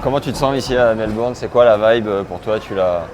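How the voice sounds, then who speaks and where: A man hosting a podcast, interviewing a guest outdoors on a city street, chatty and joking with quick questions. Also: fairly low pitch, a crowd in the background. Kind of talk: casual interview. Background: street noise and traffic.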